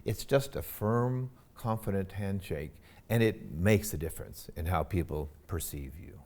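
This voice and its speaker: Loud, emphatic man giving a lecture. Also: low-pitched, a little slow. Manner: loud voice, emphatic and animated, with many fillers. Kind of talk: guest lecture on etiquette. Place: university lecture hall.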